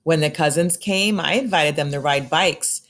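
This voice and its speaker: Low-voiced woman reading a children's storybook. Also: normal speed, a bit bright. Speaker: storybook reader. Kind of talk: expressive children's picture book read-aloud.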